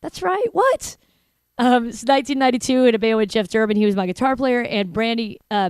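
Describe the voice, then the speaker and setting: A woman in her forties with a Texan accent hosts a live online show. She talks in a chatty, joking way, full of fillers and asides. Her voice is smooth and clear, and the sound is dry and close. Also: medium pitch, darkish tone, no room sound. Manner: chatty, jokey, many fillers. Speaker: host. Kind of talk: casual live broadcast chat.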